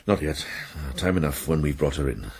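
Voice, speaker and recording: An actor playing a weary, kindly and protective monk in a radio drama, speaking gently with measured, quiet authority. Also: male voice, very deep voice, gravelly, husky, full character voice. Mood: grave, sorrowful.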